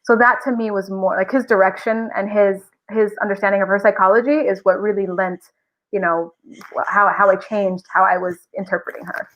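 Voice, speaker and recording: Excited woman actress chatting on a podcast, normal pitch, kinda muffled lo-fi call sound. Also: fairly quick. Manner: enthusiastic, expressive. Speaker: interview guest, audio drama voice actress. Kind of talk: casual podcast chat.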